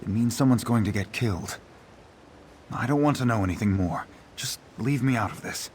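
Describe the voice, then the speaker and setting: An actor playing a guarded, brusque town grocer in a fantasy video game. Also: male voice, medium pitch, normal speed, a little mellow, husky, natural voice. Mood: wary. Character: wary, irritable male town grocer.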